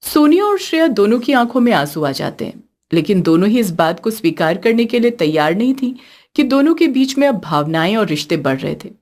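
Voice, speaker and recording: A woman's voice: medium pitch, very animated, fairly quick, darkish tone. A woman narrating a scripted story as a storyteller.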